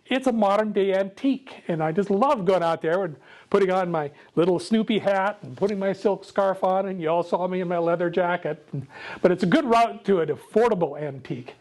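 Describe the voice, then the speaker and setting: An older man with a loud voice gives a casual, jokey talk to a club meeting, speaking without a microphone. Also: sits high. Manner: jokey, self-deprecating, chatty with laughs. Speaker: guest speaker.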